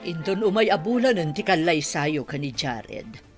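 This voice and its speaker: A woman's voice: low-pitched, big pitch swings, moderate pace, a little mellow, faint music behind. A woman with an Ilocano Filipino accent playing a bossy middle-aged mother in a radio drama, emphatic and insistent.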